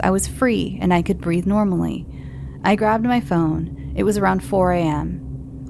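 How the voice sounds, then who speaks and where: A woman podcast host reading listener horror stories aloud in a calm, unhurried storytelling manner. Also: mid-range voice, creaky, noisy background.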